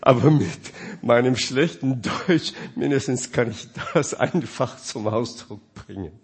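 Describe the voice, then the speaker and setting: A man preaching a sermon in German, which is not his first language, asking his listeners questions and swinging between loud and quiet. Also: medium pitch, measured pace, breathy edge.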